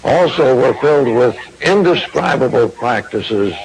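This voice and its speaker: A man delivering a formal esoteric lecture in a solemn, deliberate, grandiloquent manner. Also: mid-range voice, animated, low-quality recording.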